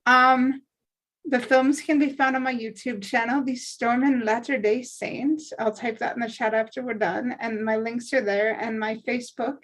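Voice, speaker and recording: Chatty woman, slightly high voice. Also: steady tone, normal speed, fairly bright tone. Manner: chatty, eager, self-promoting. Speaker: actor and writer. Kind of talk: casual chat. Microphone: video call.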